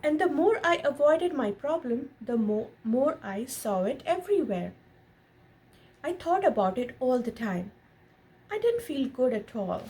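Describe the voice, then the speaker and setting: A woman reading a picture book aloud in an expressive storytelling style, pausing to explain and ask questions of a child and viewers. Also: sits high. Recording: noticeable background noise.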